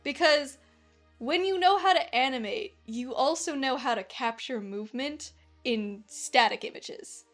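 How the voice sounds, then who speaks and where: A woman's voice: sits high, animated, a little slow, a bit bright, soft background music. A woman streaming while she animates, chatting in an easygoing, giggly way and often trailing off.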